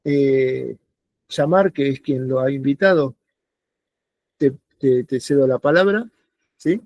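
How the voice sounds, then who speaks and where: A man's voice: mid-range voice, slow pace, lo-fi sound. A man hosting an online talk series, introducing a guest with hesitant speech and many fillers.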